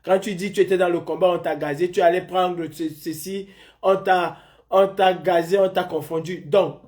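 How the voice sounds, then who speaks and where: A man's voice: high voice, fairly even intonation, normal speed, a little mellow. A man from Senegal hosting a live stream, arguing with a caller and lecturing him insistently, repeating his points. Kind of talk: heated live call-in debate.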